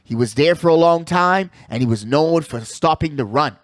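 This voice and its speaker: High-pitched, animated man talking sports. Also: normal speed, dry recording, clean studio sound. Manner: emphatic, repeats phrases, slangy asides. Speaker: sports talk show host. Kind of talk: casual sports debate and commentary.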